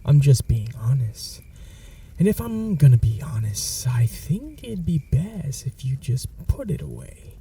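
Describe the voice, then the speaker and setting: A man performs a smug male vampire in an ASMR roleplay, soft-spoken and mocking as he taunts a hunter. The voice is dark, gravelly and slow, with lively swoops in pitch. It is recorded a little back from the mic over a faint hiss. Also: far from the mic, exaggerated voice. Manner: soft half-whispered, mocking and calm. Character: smug teasing male vampire, dominant and possessive.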